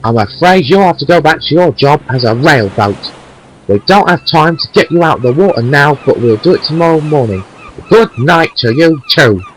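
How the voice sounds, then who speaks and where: A man playing a stern but fair-minded railway controller, formal and measured, in an amateur audio drama. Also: on the high side, huge pitch swings, a bit bright, slight background noise, rough recording. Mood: disappointed.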